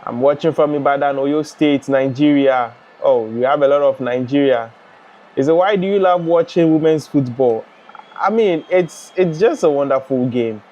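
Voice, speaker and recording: A young man from Ghana hosting a live football watch-along, chatting and reading out viewer comments in a relaxed way. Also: on the high side, normal speed, dull audio. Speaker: live stream host.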